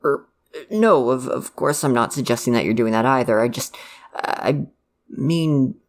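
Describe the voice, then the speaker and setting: A performer plays a caring dad with a mid-pitched, gravelly voice that often breaks into fry. He talks in a halting, stammering way, nervous and jokey yet devoted to his kid. It is a one-sided conversational ASMR roleplay. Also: lightly acted. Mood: nervous, awkward.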